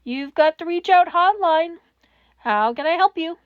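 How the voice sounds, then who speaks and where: A woman voicing a kind, earnest sixteen-year-old boy who answers a crisis hotline in a story, gentle and reassuring. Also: very high voice, lively intonation, a little slow, dry recording, phone-quality sound, voice pushed up. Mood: bright.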